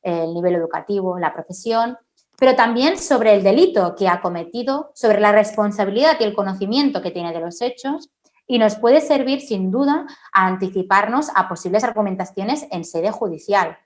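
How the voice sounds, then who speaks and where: Woman speaker, forensic linguist, explanatory, mid-pitched voice, from Spain. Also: animated, normal speed. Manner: explanatory, frequent "¿no?" tags and "eh" fillers. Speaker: forensic linguist giving a conference talk. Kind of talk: conference Q&A answers.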